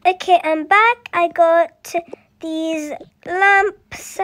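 The voice is very high and childlike, with a slow, unhurried delivery. It speaks softly, acting out everyday family dialogue for an improvised children's roleplay story. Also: steady tone. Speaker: voiced roleplay video creator.

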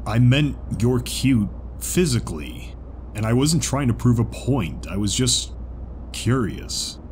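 A man playing a bashful, gentle zebra stallion in an audio drama, speaking hesitantly and haltingly. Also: mid-range voice, sing-song, slight background noise. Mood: bashful, sincere.

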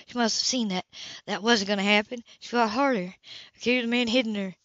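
A woman reader plays a young woman, wounded but unflinching, in an audiobook. Her voice is fairly high and controlled, with a slightly dull home-recorded sound. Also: fairly even intonation, brisk, no echo, lightly acted. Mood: quietly haunted. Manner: quiet, matter-of-fact recounting.

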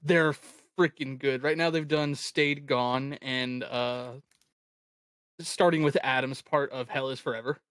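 A person chatting casually as host of a livestream, telling stories in an animated way and swearing freely. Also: medium pitch, measured pace, dry recording. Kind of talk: casual group chat on a livestream.

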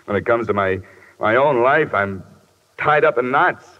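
An actor playing a tough, embattled private investigator in a terse, hard-boiled 1950s radio drama style. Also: male voice, mid-range voice, animated, a little slow, lightly acted. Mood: despairing. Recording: muffled 1950s radio broadcast recording.

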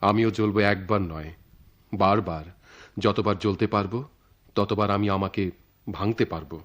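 Actor as deep-voiced romantic young poet, Bangladeshi. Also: male voice, normal speed, lightly acted. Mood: earnest, defiant. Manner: poetic, earnest, declamatory. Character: romantic, idealistic young male writer, devoted eloping lover. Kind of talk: theatrical radio drama acting.

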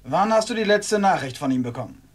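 A menacing commander, highish voice. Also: male voice, animated, fast, slightly rough. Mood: cold, probing. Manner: commanding, threatening. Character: menacing male Tatar commander, cold interrogator. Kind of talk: German radio play acting.

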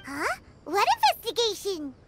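A tiny, excitable fairy girl from an anime game, chirps in bubbly exclamations. The voice is squeaky and very high, swooping all over, with prominent music behind it. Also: unhurried, full character voice. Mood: confused. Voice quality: squeaky, childlike.

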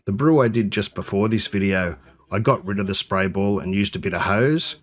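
Low-voiced man, plain voice-over. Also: moderate pace. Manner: plain, matter-of-fact, conversational. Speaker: home brewer. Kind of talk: voice-over narration.

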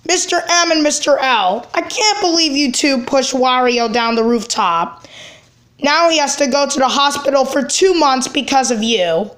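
A woman as a high-voiced, indignant cartoon brother. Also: a bit bright. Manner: halting, repeats phrases. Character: indignant, worried cartoon brother scolding two troublemakers.

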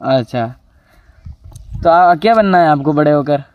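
A man's voice: fairly high pitch, measured pace, dry recording, phone-quality sound. A young man from Rajasthan, India, vlogging casually outdoors on his farm with a lapel mic, chatting in a friendly way and asking a child questions. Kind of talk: casual village vlog.

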